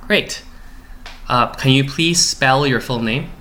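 A man's voice: medium pitch, takes it slowly, bright, forceful tone, slight background noise. A young man, a graduate student, conducting an oral history interview with polite, formal questions.

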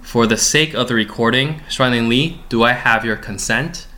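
A man's voice: mid-range voice, moderate pace, sharp tone. A young man, a graduate student, conducting an oral history interview with polite, formal questions.

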